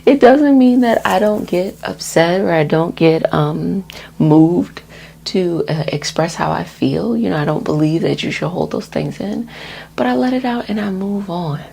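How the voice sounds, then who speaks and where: A woman with a soft, breathy voice that often drops to a whisper gives a soothing, gently reassuring ASMR energy healing talk. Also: fairly low pitch, lively intonation, unhurried. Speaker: energy healer and host of healing sessions.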